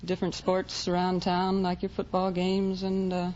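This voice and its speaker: A woman's voice: on the low side, monotone, normal speed, darkish tone. A woman answering questions as a quiz show contestant, explaining things plainly and a little hesitantly. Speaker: quiz show contestant, volunteer Red Cross driver. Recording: muffled vintage radio recording, faint noise.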